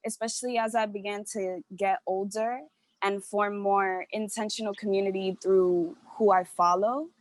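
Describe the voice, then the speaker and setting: A woman's voice: mid-range voice, fairly even intonation, moderate pace, dry recording. Candid New York woman, dry close sound. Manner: candid, emphatic, swears casually. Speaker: panelist artist. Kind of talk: casual panel chat. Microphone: video call.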